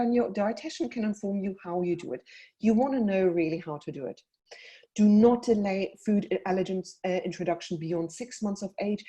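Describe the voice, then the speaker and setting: A woman's voice: medium pitch, dark tone. South African woman giving a webinar talk. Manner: brisk, explanatory, advising parents directly. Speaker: paediatric dietitian presenting a webinar. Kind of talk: webinar presentation with slides.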